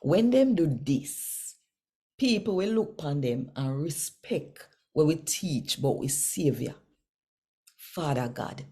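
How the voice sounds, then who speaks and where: A woman with a Jamaican accent, hosting and reading scripture devotionally in a deliberate, phrase-by-phrase way. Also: low-pitched, expressive, warm tone, slightly rough. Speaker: host and scripture reader.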